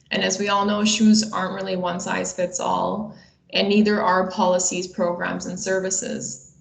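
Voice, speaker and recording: Young woman presenting, creaky voice, echoey room. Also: medium pitch. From Bosnia and Herzegovina. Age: 20s. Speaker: webinar presenter. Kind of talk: scripted webinar presentation. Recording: roomy, echoey sound.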